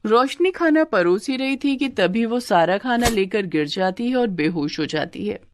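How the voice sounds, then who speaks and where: A woman's voice: medium pitch, lively intonation, normal speed, a little hiss or hum. A woman reading scripted narration for a moral story as its narrator.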